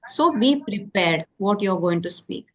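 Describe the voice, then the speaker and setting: A woman's voice: medium pitch, animated, unhurried. A woman from South India, tutoring a beginner over a call and checking in often with "okay?". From Karnataka, South India. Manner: patient, repeats key points with many "okay?" checks. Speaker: English tutor. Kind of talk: phone conversation practice.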